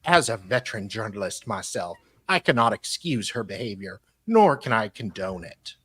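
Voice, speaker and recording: A man's voice: on the low side, big pitch swings, normal speed, a bit bright, breathy edge, no echo. Man reading documents aloud with joking asides. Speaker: co-host. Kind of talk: live dramatic reading of documents with casual asides.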